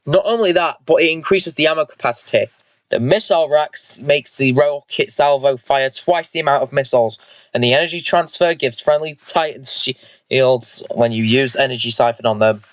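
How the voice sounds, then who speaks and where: A man's voice: medium pitch, normal speed, a bit bright, no room sound, close to an everyday voice, poor audio. A man voicing an eager, vengeful squad leader and mech pilot in an amateur sci-fi story, informal and explanatory. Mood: instructive.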